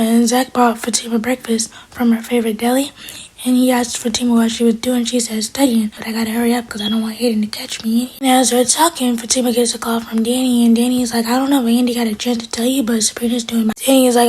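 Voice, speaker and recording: A woman's voice: on the high side, darkish tone, husky, rough recording. A woman whispering her way through a casual recap of a TV episode.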